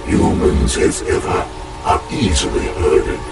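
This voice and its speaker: A man playing a cold, imperious rogue artificial intelligence with contempt for humans, deep and gravelly through a robotic effect, in a video game cutscene. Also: lively intonation, far from the mic, heavy background noise, exaggerated voice. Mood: menacing, gloating. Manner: imperious, deliberate, theatrical. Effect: robotic processed voice.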